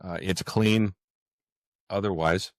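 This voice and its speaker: A man with a low, deep voice speaks as a guest in a relaxed interview format. He is earnest and halting, often searching for his words. The sound is dry, with very little room around it. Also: no room sound. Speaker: guest author. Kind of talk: conversational interview answers.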